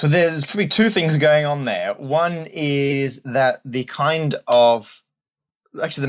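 An Australian man speaks conversationally, like a guest answering interview questions. His voice sits at an average pitch for a man. He tells things in a wry, jokey way. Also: lo-fi sound. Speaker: guest, stand-up comedian and mathematician.

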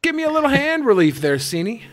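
A man's voice: extremely high pitch, big pitch swings, fast talker. A man bantering casually on a podcast panel, crude and joking, swearing freely.

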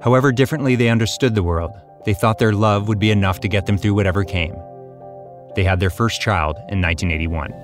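A man hosting a podcast, giving calm, measured scripted documentary narration. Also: fairly low pitch, faint music behind.

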